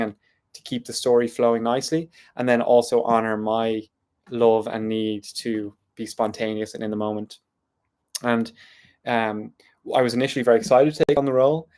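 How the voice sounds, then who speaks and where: A man's voice: medium pitch, a little mellow. An Irish man talking through an unscripted, reflective vlog monologue in a thoughtful, meandering way. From Ireland. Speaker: vlogger.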